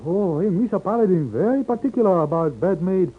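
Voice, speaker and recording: An actor playing an eager, polite Chinese hotel porter in halting English with a Chinese accent, in 1950s radio drama style. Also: male voice, sits high, animated, husky. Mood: fussy, eager. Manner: hesitant, flustered, halting broken English. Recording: tinny vintage radio recording.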